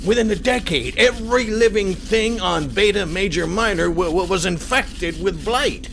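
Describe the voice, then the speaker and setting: A man's voice: high voice, normal speed, gravelly, a bit bright, slight background noise. An actor playing an earnest, nervous underling in an audio drama, stammering and over-explaining as he reports.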